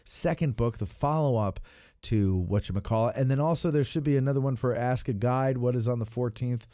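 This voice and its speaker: A man's voice: medium pitch, brisk, mellow, dry recording, clean studio sound. An American man hosting a casual chat show, informal and rambling as he thinks aloud. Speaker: podcast host.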